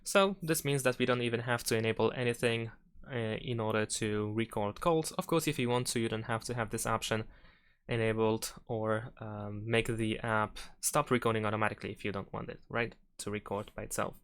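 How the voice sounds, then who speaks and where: A man's voice: on the low side, fairly even intonation, fairly quick, fairly bright tone, smooth. A man hosting a tutorial, talking through steps one by one in an unscripted, instructional way. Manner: instructional, unscripted step-by-step delivery. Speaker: tutorial host. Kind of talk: step-by-step spoken phone tutorial.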